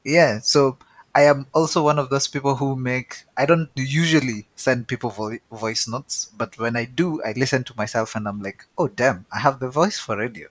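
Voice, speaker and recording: A man co-hosting a tech podcast in a relaxed, chatty way, often repeating words as he thinks. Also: medium pitch, normal speed. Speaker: podcast co-host. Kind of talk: casual chat.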